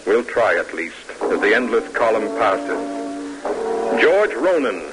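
A man's voice: lowish voice, big pitch swings, unhurried, poor audio. An American man narrating an old-time radio drama in a solemn, stately, oratorical way. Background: music.

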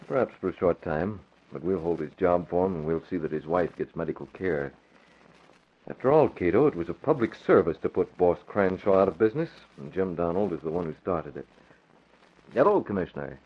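An actor playing a calm, confident, brisk crime-fighting publisher in 1940s radio drama style. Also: male voice, sits low, slightly rough. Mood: polite, assured. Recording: muffled vintage radio recording.

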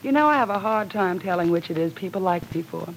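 A woman's voice: on the low side, lively intonation, quick pace, slight background noise, poor audio. An actress playing a wealthy, poised young society woman who teases and laughs lightly, in 1940s radio acting style. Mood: amused.

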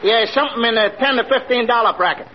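An actor playing a slick, self-important wheeler-dealer in old-time radio comedy, smooth-talking and conspiratorial. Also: male voice, very high voice, quick pace, a loud crowd. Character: slick, bluffing male wheeler-dealer and swindler. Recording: muffled noisy vintage radio recording.

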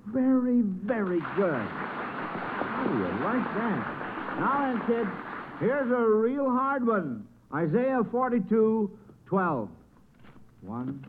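An American man hosts an old radio quiz show. His voice sits a little high, and he chats in a folksy, encouraging way. The sound is narrow and muffled, like a vintage broadcast. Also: sing-song, slow pace, background noise.

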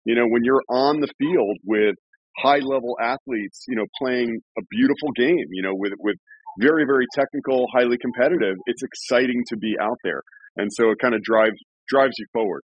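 A man's voice: mid-range voice, brisk, breathy edge, dry recording. A man in his forties hosting a casual interview, chatty and rambling with restarts and laughs. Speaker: podcast host. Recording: dull lo-fi sound.

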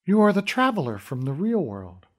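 Man voicing a stately, measured fairy queen. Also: sits high, very animated, pitched above the performer's own voice. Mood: regal. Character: regal fairy queen, calm and commanding.

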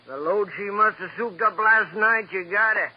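An actor playing a grumbling, nosy, coarse caretaker with slangy, griping delivery in a 1950s radio drama. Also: male voice, sits high, normal speed, husky. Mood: pushy, excited. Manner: griping, slangy, loud calling. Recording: muffled, hissy old radio recording.

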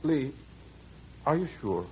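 An actor playing an earnest, anxious suitor pleading in 1940s radio drama style. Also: male voice, mid-range voice, very animated, slow pace, slight background noise. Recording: dull old radio recording.

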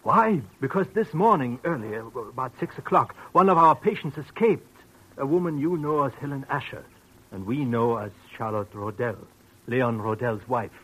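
An actor playing a worried, formal sanitarium doctor in a 1940s radio drama, speaking with a hesitant, proper manner. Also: male voice, mid-range voice, moderate pace, pushed and bright. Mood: grave. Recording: noisy vintage radio recording.

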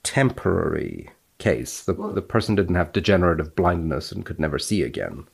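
A man speaking as an expert guest, explaining and reading out research in a measured, precise way. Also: deep voice, expressive, creaky, slightly rough.

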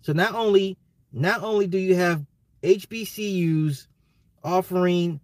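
An American man ranting casually on a livestream, emphatic and slangy, repeating his phrases, his voice getting slightly hoarse. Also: high-pitched, a little slow, no echo. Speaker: livestream host. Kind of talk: casual opinionated livestream rant.

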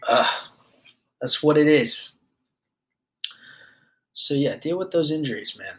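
A man's voice: on the high side, lively intonation, takes it slowly, rough recording. Rambling, foul-mouthed young man. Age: 20s. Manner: loose and rambling, swears often, many fillers. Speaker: podcast host. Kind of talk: casual monologue.

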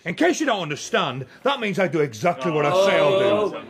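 Casting: proud warrior duke, high-pitched, taunting swagger, historical radio play amid loud crowd. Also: male voice, very animated, brisk. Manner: sardonic, forceful, declamatory. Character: swaggering, ambitious duke and soldier, mocking, defiant. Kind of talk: dramatic radio play acting.

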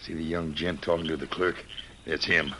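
An American actor playing a rough, slangy, taunting outlaw henchman in an old-time radio western. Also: rumbling low, steady tone, normal speed, bright, forceful tone. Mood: wary, conspiratorial. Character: sneering male outlaw henchman, mocking and rough. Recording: noisy vintage radio recording with clicks.

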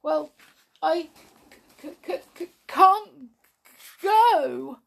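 Lady doing a weepy little girl doll, high voice, sad n huffy, storybook style. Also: expressive, slow pace, vocal fry, full character voice. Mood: tearful. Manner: sobbing, stammering when upset. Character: tearful, sensitive female doll, sad and easily cross.

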